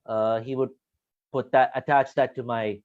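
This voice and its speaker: A man's voice: mid-range voice, fairly even intonation, normal speed, dry recording. Guest, man born in India and raised in the US, wry explainer, dry lo-fi sound. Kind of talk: casual storytelling.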